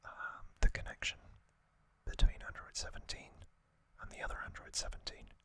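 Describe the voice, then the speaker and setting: A man hosting an ASMR recap in a breathy whisper, musing casually and giving his own opinions as he goes. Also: normal speed, pushed and bright. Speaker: ASMR video host.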